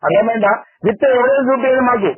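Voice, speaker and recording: A man's voice: very high voice, quick pace, slightly rough, dry recording. A man with a Telangana Telugu accent, defensively arguing and demanding evidence in a heated phone call. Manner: defensive, argumentative, keeps demanding proof. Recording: phone line, leaked call audio.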